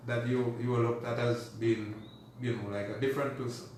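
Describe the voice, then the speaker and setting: A man's voice: medium pitch, flat delivery, fairly quick, a little mellow. A man with a Dominican accent, talking casually as an interview guest in a rambling, conversational way. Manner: rambling, often says "you know". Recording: very echoey room, distant mic, noisy.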